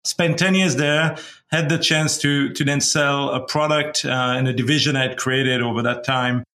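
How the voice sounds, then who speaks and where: A middle-aged man from Belgium talks through his career on a video call. His voice is bright and forward. He speaks casually, stopping and restarting his sentences. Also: mid-range voice, steady tone. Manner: halting, many restarts and fillers. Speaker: guest, company founder. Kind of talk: casual interview talk.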